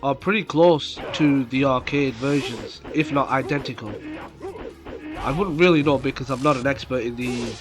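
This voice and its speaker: A man with a cold and a nasal voice, casually commenting on gameplay for his channel, with fillers. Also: mid-range voice. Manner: slow, rambling, many fillers. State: has a cold coming on. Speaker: gaming YouTuber. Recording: game music behind voice. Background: game music.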